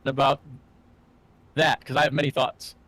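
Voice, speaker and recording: A man's voice: mid-range voice, little pitch movement, moderate pace, fairly bright tone, dry recording. A young man co-hosting a podcast in casual chat, rambling and often restarting his sentences. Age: young adult. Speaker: podcast co-host and student filmmaker.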